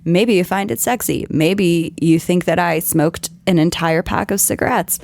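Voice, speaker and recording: A woman hosting a podcast, her voice scratchy and strained from yelling, explaining things in an earnest, scripted way. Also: on the low side, moderate pace, no echo. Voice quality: scratchy, husky. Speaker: podcast host.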